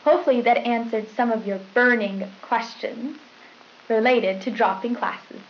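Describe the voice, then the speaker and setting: A woman's voice: fairly high pitch, steady tone, normal speed, close to an everyday voice. A young woman playing a polite, perky school news anchor, formal and scripted, on a hissy old tape. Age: young adult. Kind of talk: scripted 1990s school newscast. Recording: dull hissy VHS broadcast sound.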